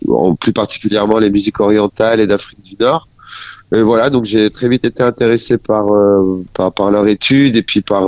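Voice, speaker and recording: A lower-voiced man in his forties, a musician talking as a radio guest. He speaks in a halting, thoughtful way and often stops to search for words. His voice comes over a dry, slightly muffled remote line. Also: no echo. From France. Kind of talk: casual radio interview.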